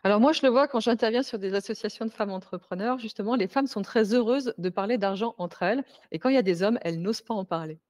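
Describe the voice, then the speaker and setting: Woman host, quick fluent speech, mid-range pitch. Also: darkish tone. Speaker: interview host, coach for women entrepreneurs. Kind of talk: interview questions and comments.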